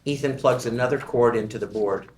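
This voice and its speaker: A man reading the stage directions at a table read of a screenplay, in a measured, even way. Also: mid-range voice, echoey room, a little hiss or hum.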